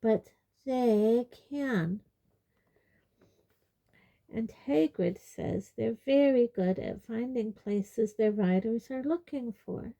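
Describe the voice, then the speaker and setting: Serene, dreamy teenage girl, lifted pitch woman acting. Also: slow, gravelly. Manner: calm, unruffled, airy.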